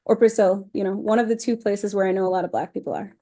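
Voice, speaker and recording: Female podcast guest, medium pitch, expressive and frank, relaxed conversational storytelling. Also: fast talker. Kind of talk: casual chat, personal anecdotes.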